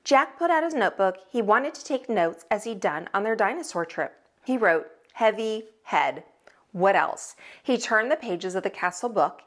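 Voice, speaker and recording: A woman narrating a children's book as a teacher would, with expressive, dramatic storytelling. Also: mid-range voice, normal speed. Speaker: host, teacher reading aloud.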